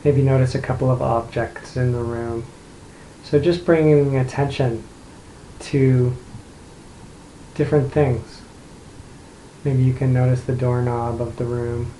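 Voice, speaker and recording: A man guides a meditation in a mellow, relaxed voice with very little rise and fall. He speaks gently, leaving long pauses between simple instructions. The room adds noticeable echo around his words. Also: mid-range voice. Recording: roomy echo, light background noise.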